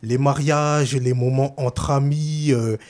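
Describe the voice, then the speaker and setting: A man's voice: medium pitch, fairly even intonation, slow, darkish tone. Hesitant French man, author guest. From France. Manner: hesitant, many fillers. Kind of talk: casual talk-show chat.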